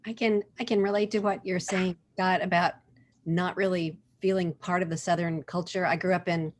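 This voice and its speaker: A woman's voice: lowish voice, fairly even intonation, normal speed. A woman from Oklahoma taking part in a book discussion over a video call, thinking aloud with restarts and "um" fillers, and reading a passage aloud. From Oklahoma, USA. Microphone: computer mic on a video call.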